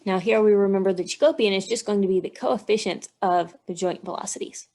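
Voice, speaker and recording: Female technical instructor, mid-pitched with a gravelly, creaky edge. Methodical teaching delivery, reasoning aloud through worked steps. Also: brisk, dark tone. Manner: methodical, step-by-step explaining. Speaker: engineering instructor. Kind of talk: instructional walkthrough, thinking aloud while working out math.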